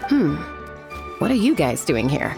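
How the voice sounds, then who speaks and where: A woman voicing a laid-back female warrior who talks in a relaxed, easygoing, dry way. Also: low voice, measured pace, exaggerated voice. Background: game music.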